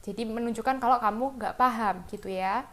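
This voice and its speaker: Female English teacher, Indonesian accent, fairly high voice, informal and conversational while explaining phrases. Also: a little fast. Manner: informal, conversational, addresses students directly. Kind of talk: lesson explanation, reading example phrases and translating them.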